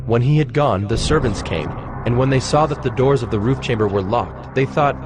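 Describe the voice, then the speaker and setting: A male narrator reads a dramatized scripture account. His voice is mid-pitched, his storytelling solemn and measured. Music is clearly heard behind him.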